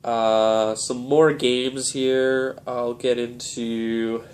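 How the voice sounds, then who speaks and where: A man's voice: mid-range voice, extremely slow. Young guy with a cold, nasal and stuffy, rambling casual vlog chat, lots of uhs. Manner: relaxed, rambling, many "uh"s. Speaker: video game collector vlogger. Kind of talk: casual show-and-tell vlog.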